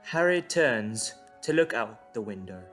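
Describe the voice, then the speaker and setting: A man's voice: medium pitch, lively intonation, unhurried, a bit bright. A man reading scripted dramatic narration as the narrator of an audio drama. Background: music.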